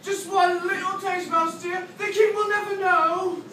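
A performer acting out a hungry, gullible tiger in a children's readers theatre show, with drawn-out, exaggerated delivery. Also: extremely high pitch, steady tone, sharp tone, full character voice. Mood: eager, wheedling. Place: classroom, indoors. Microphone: distant camera. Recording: echoey classroom from far, noisy.

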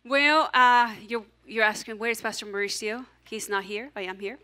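A woman pastor with a noticeable accent delivers a sermon with lots of energy and warmth. Her voice sits a little high and rings bright and clear. She keeps the congregation involved, asking them to say lines back to her. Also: normal speed. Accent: non-native English. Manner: animated, encouraging, audience call-and-response. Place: church stage, large hall.

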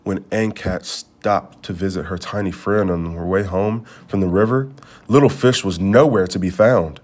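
A man reading a children's storybook aloud, with measured, expressive phrasing. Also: low-pitched. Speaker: storybook reader. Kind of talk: read-aloud storybook narration.

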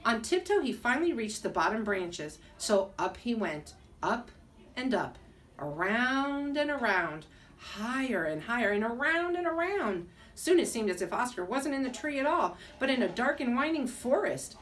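A middle-aged woman teacher reading a children's storybook aloud in an expressive way. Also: fairly high pitch, normal speed, slight background noise. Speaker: second grade teacher reading a bedtime story. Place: small school room, indoors. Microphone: camera a few feet away. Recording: distant mic in a room.

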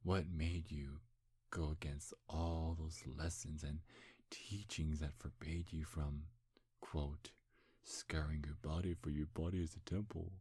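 A man playing a smug, seductive demon in an intimate ASMR roleplay, breathy and husky, teasing in a hushed, hypnotic way. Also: very deep voice, little pitch movement, measured pace, no room sound, studio quality, full character voice. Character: seductive, smug male demon posing as a handsome gentleman.

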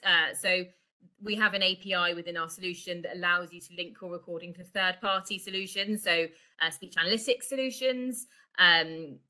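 A woman's voice: mid-range voice, bright, forceful tone. A middle-aged woman giving an informal, explanatory product presentation over an online video call. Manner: explanatory, conversational. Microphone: video call microphone.

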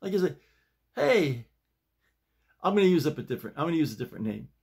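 An older man in his sixties or beyond chatting casually to camera in a folksy, halting way, leaning on repeated emphatic phrases. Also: fairly high pitch, very animated, gravelly, darkish tone, slightly rough. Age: 60s or older. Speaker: vlog host and amateur playwright. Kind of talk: casual rambling chat to camera. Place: small home study, indoors.

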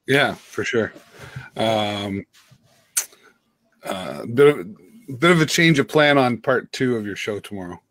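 A middle-aged man co-hosting a casual video call, talking with animated gestures and frequent restarts. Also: lowish voice, slow, pauses often, no room sound. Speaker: co-host and teacher. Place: home room with framed pictures. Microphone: webcam mic on a video call.

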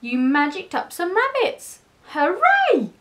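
Woman putting on excitable, squealing storybook cats, very high-pitched. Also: slow pace, full character voice. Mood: cheering. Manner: exclamatory, chanting and squealing.

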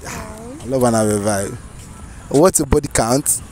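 A man in his twenties with a Nigerian accent, teasing and animated as he hosts a casual street interview. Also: medium pitch, unhurried, slight background noise. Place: outdoors on a park path.

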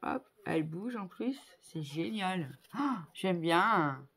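A woman in her fifties chatting casually to viewers as a craft vlogger, effusive and appreciative, exclaiming often and repeating words haltingly, recorded on a phone indoors at home. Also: on the low side, lively intonation, a bit bright, breathy edge. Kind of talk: casual unboxing chat to viewers. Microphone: phone on a tripod at arm's length.

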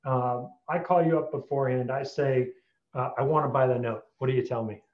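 A man in his forties hosts a casual interview in a mid-pitched voice. He is on a video call from a bare room, so the sound is echoey and a little dull. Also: steady tone, a little fast. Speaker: podcast host, interviewer. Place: small bare room indoors. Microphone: computer mic on video call.

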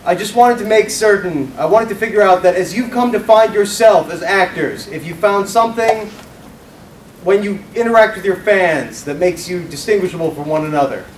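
High-voiced man calling from across a room. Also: normal speed, a little hiss or hum. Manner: loudly projecting. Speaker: audience member asking a question. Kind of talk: fan question at a panel. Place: convention room audience. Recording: roomy hall, far from mic.

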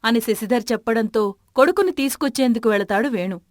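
A woman's voice: a little high, brisk, no room sound, clean studio sound. A woman narrating a scripted moral story.

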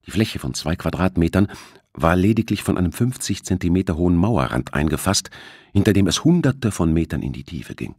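A man narrates a thriller audiobook. His voice is fairly low with lively, varied intonation. The recording is dry and close, without room sound. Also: brisk, husky, dry recording.

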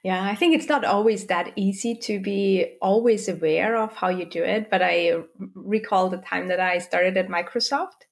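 A woman in her thirties whose first language is German, hosting an interview over a video call from a home office, friendly and quick to laugh, with many "you know"s. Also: mid-range voice, steady tone. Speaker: podcast host. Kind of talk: casual interview conversation. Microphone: video call with wired earphones.

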